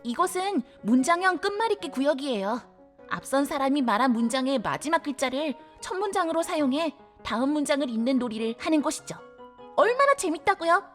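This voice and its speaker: A woman acting in an audio drama as an excitable, kindly library director who guides a newcomer politely and with bubbly delight. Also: sits high, a little fast, faint music behind. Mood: excited, gushing. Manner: bubbly, polite, gushing over small things.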